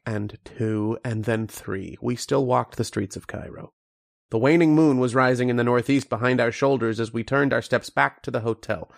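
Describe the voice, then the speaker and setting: An actor playing an earnest, haunted archaeologist telling his uncanny tale in old-time radio horror style, with dramatic, deliberate pauses. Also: mid-range voice, creaky, close to an everyday voice. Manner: dramatic first-person storytelling with deliberate pauses.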